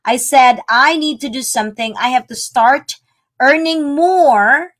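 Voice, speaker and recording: A woman's voice: high-pitched, a little slow, fairly bright tone. A middle-aged woman from the Philippines, a business coach, chatting earnestly on a live video call. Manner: chatty, earnest, laughs easily. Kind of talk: casual live-stream chat.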